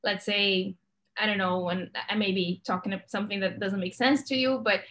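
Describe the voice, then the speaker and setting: A woman's voice: mid-range voice, fairly even intonation, a little fast, a bit bright. A woman interviewing a guest, asking questions in a hesitant way as she searches for her words.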